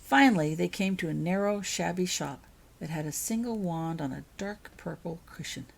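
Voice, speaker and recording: A woman's voice: on the low side, expressive, normal speed, creaky. A woman reading her own fiction aloud as scripted storybook narration.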